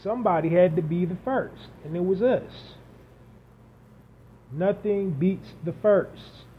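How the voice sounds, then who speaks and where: A man's voice: high voice, slow pace. A man hosting a solo podcast, talking unscripted and building points through emphatic repetition and lists. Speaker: podcast host. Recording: dull lo-fi audio with faint hiss.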